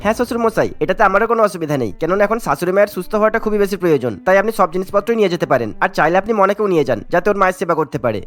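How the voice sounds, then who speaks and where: A man's voice: sits high, lively intonation, very fast, few pauses, a bit bright, voice pushed up. A man voicing an agreeable, slyly eager young son-in-law in a cartoon. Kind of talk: cartoon voice acting.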